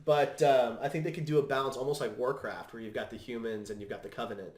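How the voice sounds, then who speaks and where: Male podcast host, medium pitch, casual conversational read, audible room echo. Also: steady tone, fast, a little mellow. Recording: roomy, echoing room sound.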